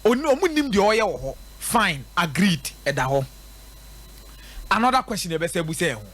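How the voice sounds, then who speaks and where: High-pitched, husky, animated Ghanaian football pundit. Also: male voice, moderate pace. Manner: emphatic, rhetorical, repeats points for effect. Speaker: radio sports pundit. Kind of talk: live football commentary and analysis, mixing local language and English.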